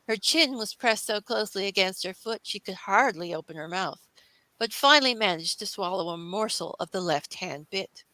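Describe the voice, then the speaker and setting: A woman's voice: medium pitch, lively intonation, moderate pace, sharp tone, no room sound, rough recording. A woman reading scripted storybook narration as the narrator of a classic tale.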